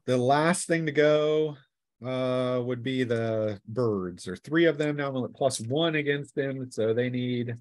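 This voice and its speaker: A man's voice: medium pitch, slow pace. Man game master running a tabletop session. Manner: playful, chuckling, acts out sound effects and shouts. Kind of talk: running a tabletop role-playing session, casual narration and dice rulings.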